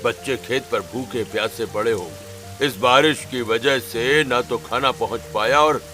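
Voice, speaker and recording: A bright, forceful-voiced rural family man from a cartoon, acted by a man. He is earnest and reassuring in his concern, with faint music behind him. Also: medium pitch, a little slow. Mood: worried. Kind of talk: Hindi animated family story acting.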